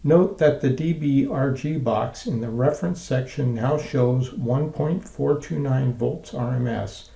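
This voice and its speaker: A man narrating a scripted software tutorial in a measured, methodical, step-by-step way. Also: medium pitch, gravelly, echoey room, rough recording.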